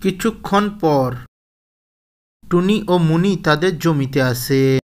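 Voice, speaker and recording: A man with a fairly high-pitched voice serves as the narrator of a children's cartoon tale. He delivers scripted storytelling lines. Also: lively intonation, slow pace.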